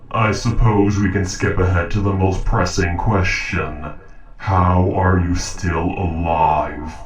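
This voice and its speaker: Man playing a slow, deep, formal robot. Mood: intent, curious. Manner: formal, measured, precise diction. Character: polite, logical humanoid robot interrogating a captive human. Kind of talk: scripted audio roleplay monologue. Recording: roomy echo, distant from mic.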